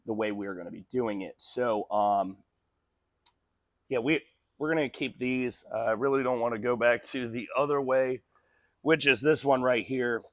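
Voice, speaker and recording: A man's voice: medium pitch, no echo. A man hosting a truck restoration vlog, talking casually with ums and small laughs while he loses his voice. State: losing his voice from postnasal drip. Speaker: host of a truck restoration channel. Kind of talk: casual how-to workshop vlog.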